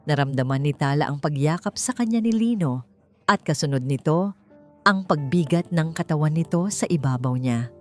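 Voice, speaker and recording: Low-pitched woman narrating a dramatic story over music. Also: darkish tone. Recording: music behind the voice. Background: background music.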